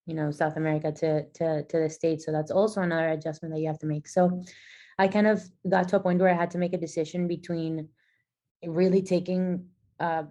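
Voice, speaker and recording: A woman's voice: lowish voice, mellow. A woman from Venezuela, a physical therapist and athlete, telling her story as a podcast guest in a thoughtful, conversational way with many restarts. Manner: thoughtful, with frequent restarts and fillers. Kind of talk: conversational interview answers.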